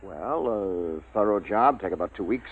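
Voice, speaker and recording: Wry male scientist, lively pitch swings. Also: measured pace. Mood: matter-of-fact. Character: wry, teasing, stubborn male military biologist. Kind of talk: old radio drama acting. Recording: narrow, lo-fi vintage radio recording.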